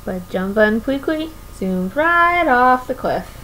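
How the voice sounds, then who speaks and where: A woman's voice: a little high, sing-song, slow, sharp tone, slight background noise. A young woman reading a picture book aloud to children expressively, often putting questions to her listeners. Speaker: children's story reader. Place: small room at home, indoors.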